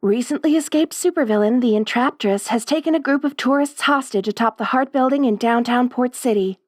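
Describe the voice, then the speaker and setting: A woman voicing a polished female TV news anchor with a crisp newsreader cadence. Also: fairly high pitch, brisk, darkish tone, no room sound, clean studio sound, close to an everyday voice. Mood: newscast.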